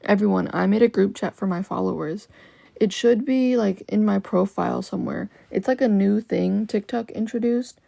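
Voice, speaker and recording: A young woman with a lower voice chatting casually with viewers on a livestream, loose and full of "like" fillers. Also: normal speed, vocal fry, dark tone, no echo. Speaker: livestream host. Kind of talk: casual live-stream chat with viewers.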